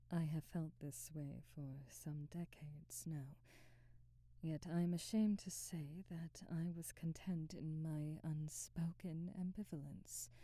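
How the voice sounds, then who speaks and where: A woman playing an elegant, domineering vampire noblewoman in an intimate ASMR roleplay, speaking softly and breathily in formal, measured phrases. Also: low voice, no room sound, heavily acted. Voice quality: soft, breathy, whispery. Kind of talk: intimate ASMR roleplay, slow whispery delivery.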